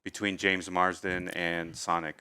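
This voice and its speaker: A man's voice: low-pitched, level tone, measured pace, pushed and bright, clear, resonant tone. A man co-hosting a movie review, speaking casually with another host. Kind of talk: casual chat, film review.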